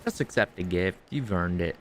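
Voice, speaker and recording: A man's voice: low voice, expressive, normal speed, fairly bright tone, slight background noise. A man streaming a game, making casual, offhand muttered asides as he reacts. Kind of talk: casual gameplay commentary.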